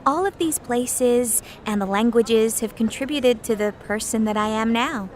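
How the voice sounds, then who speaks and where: A woman's voice: a little high, unhurried, darkish tone, slight background noise, close to an everyday voice. A woman from Korea, speaking in an easygoing, reflective way as an interviewee. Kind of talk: scripted course-book interview.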